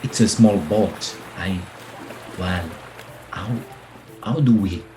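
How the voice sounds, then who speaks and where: A man playing a suave, evasive Italian husband in a radio play, hesitant and smooth-talking. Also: sits low, slow, warm tone, a little hiss or hum. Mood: uneasy, evasive. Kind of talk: radio drama acting.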